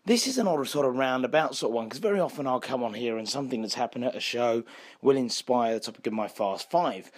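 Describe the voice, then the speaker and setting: A man, a croaky and husky voice, hosting a solo podcast and telling history in a chatty, explanatory way. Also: mid-range voice. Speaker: podcast host and escape artist.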